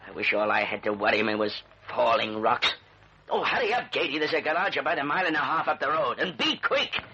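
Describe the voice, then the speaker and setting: A man's voice: medium pitch, expressive, fairly quick, sharp tone. A man acting an anxious, commanding older businessman haunted by guilt in an old-time radio drama. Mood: irritated. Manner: agitated, commanding, urgent. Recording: muffled, noisy vintage radio recording.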